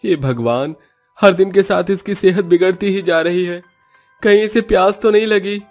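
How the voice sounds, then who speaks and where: A worried cartoon villager, put on by a male narrator, speaks in a raised, strained voice well above the narrator's own. He frets and fusses, and soft music plays underneath. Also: sits high, moderate pace, voice pushed up. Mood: fretful. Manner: fretful, fussing. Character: worried middle-aged male village goat herder.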